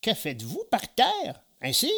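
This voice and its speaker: A storyteller voicing a touchy, excitable little old man in a children's storybook tale, quick to indignation. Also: mid-range voice, pitched above the performer's own voice, full character voice. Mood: sprightly, eager. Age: older. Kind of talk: storybook character voice.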